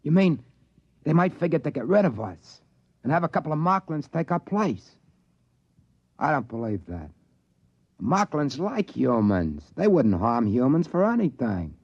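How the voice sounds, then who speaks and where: Folksy American radio-drama storyteller, dull recording. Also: male voice, on the high side, sing-song, unhurried, darkish tone, no echo, heavily acted. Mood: puzzled, trusting. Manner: plain-spoken, folksy, colloquial. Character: folksy, easygoing trading-post man narrating his tale. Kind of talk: 1950s radio drama acting and narration. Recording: dull vintage radio recording, music underneath.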